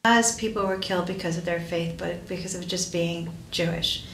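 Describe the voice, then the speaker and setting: Female academic interviewee, middle-aged, somewhat low voice, reverberant room. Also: fairly even intonation, normal speed. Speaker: professor being interviewed. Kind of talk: spontaneous interview answer. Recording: roomy echo, faint noise.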